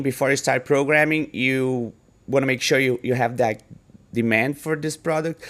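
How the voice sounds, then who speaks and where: A man's voice: medium pitch, a bit bright. A man with a Brazilian Portuguese accent, a startup founder casually walking through a screen-share demo of his product, often restarting and filling in with "like" and "uh". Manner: halting, many restarts and fillers.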